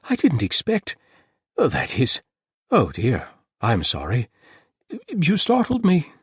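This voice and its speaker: A man doing a high, flustered young homeowner. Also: normal speed, gravelly, husky, no room sound, full character voice. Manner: excitable, flustered, apologetic. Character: nervous, babbling young male house owner. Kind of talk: audiobook character voice.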